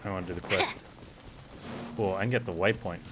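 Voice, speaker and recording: A man's voice: low voice, a little fast, poor audio. Casual male game streamer over music. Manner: chatty, playful, addresses viewers. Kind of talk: casual live game commentary.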